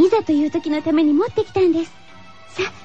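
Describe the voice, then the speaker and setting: A woman acts as an earnest schoolgirl class monitor with an extremely high, soft-toned voice. She gives wary, fearful warnings to her teacher in a horror radio drama, heard on a dull, lo-fi recording. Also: fast talker, faint music behind.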